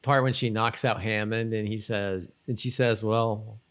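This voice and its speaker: A man's voice: fairly low pitch, fairly even intonation, unhurried, clear, resonant tone. A man co-hosting a podcast in casual chat, talking in a rambling, self-interrupting way. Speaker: podcast co-host. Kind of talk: casual chat reviewing a TV episode.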